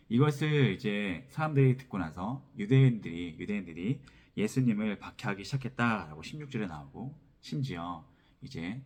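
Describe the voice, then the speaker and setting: A man's voice: lowish voice, a little mellow, slightly rough. A man preaching an expository sermon as a pastor, repeating phrases as he explains, his throat sore from a cold. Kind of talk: expository sermon with scripture reading.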